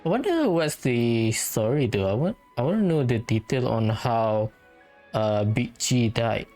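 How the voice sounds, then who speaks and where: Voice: medium pitch, animated, measured pace, warm tone, soft background music. A game player giving casual let's-play commentary, offhand and musing aloud.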